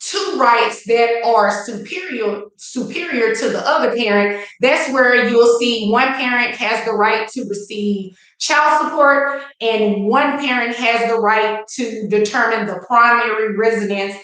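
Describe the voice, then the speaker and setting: A woman's voice: fairly high pitch, unhurried, hardly any pauses, fairly bright tone. A woman with a Texan accent hosting an informal live legal lesson, speaking in a folksy, emphatic way with chatty asides to viewers. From Texas, United States. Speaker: attorney hosting a live legal education session. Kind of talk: informal livestream lecture. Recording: echoey, reverberant room.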